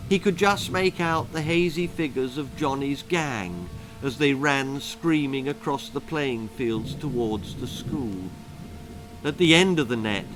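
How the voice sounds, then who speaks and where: A man's voice: medium pitch, a bit bright, poor audio. A British man narrating a children's storybook in a dramatic, theatrical and drily comic manner. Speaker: author and storyteller. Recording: music behind the voice.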